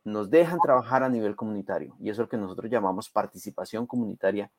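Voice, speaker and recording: A man's voice: on the low side, fast talker, no echo. Emphatic man explaining, dry close sound. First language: Spanish. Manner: explanatory, emphatic, rhetorical check questions. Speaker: interview guest, community health program advocate. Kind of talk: conversational explanation.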